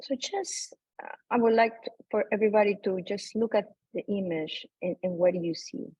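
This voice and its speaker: A woman from Peru with a Peruvian accent, presenting as an academic researcher in an unscripted, halting way over a remote video call. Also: medium pitch, mellow, slightly rough, dry recording. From Lima, Peru. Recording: dull lo-fi video call audio.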